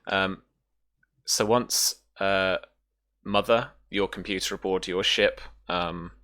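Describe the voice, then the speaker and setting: Deep-voiced man game master, bright tone. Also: steady tone. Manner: hesitant, halting delivery. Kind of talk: narrating a tabletop roleplaying game.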